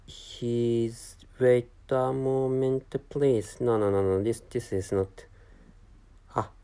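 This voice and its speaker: A man speaking English as a second language, talking alone in a halting way, with many restarts and self-corrections. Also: medium pitch, fairly even intonation, mellow, clean tone. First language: not English. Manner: apologetic about his English. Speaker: commentator. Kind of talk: unscripted solo monologue.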